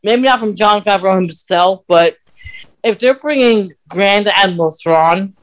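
A man with a fairly high voice talks casually as a podcast co-host. He explains fan lore in a halting way, often pausing in the middle of a sentence.

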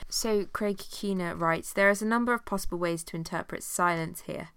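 A woman's voice: fairly low pitch, normal speed, a bit bright, clear, resonant tone, dry recording, studio quality. A woman teaching an informal Bible study. She has a cold and sounds nasal, with many fillers as she reads passages aloud.

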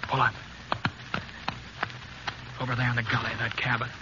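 An actor playing a steady, laconic gunslinger drifter in a 1950s radio western, with understated, folksy Western delivery. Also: male voice, medium pitch, normal speed, close to an everyday voice. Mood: tense, watchful. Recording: muffled, noisy 1950s radio recording.

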